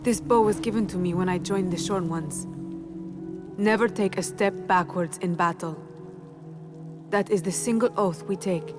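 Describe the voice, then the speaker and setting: A solemn warrior woman acted by a woman speaks in a somewhat low voice with formal, measured dignity. She sounds honourable and grave, as in a dramatic game cutscene, with music clearly behind. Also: close to an everyday voice. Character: solemn, honourable female warrior of a sworn order. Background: background music.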